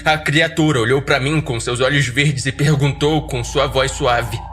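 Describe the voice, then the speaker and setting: A man with a Brazilian accent narrating a first-person horror story in a dry, sardonic, measured way. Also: medium pitch, steady tone, fairly bright tone, soft background music. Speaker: podcast narrator.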